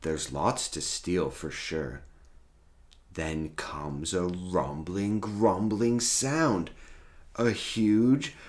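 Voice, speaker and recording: A man reading a children's storybook aloud as host, with expressive, dramatic delivery and drawn-out key words. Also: sits low.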